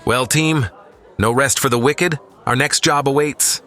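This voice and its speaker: A man playing a confident, steady captain leading a crew in a sci-fi audio drama, measured and theatrical. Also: medium pitch, soft background music, natural voice. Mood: wry, commanding.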